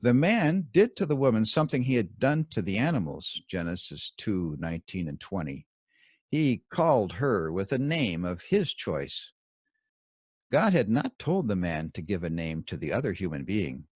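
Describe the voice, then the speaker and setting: A man with a fairly low voice reads a scripted commentary on scripture. His delivery is measured and emphatic, with very varied intonation. The recording is dry and close, with little room sound. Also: sing-song, darkish tone, breathy edge, no echo. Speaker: author reading own Bible commentary.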